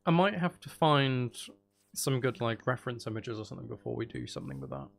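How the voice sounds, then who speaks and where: A man's voice: medium pitch, huge pitch swings, brisk, vocal fry. A man thinking aloud as he plays a building game, chatting casually with musing, playful asides. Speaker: streamer playing a building game.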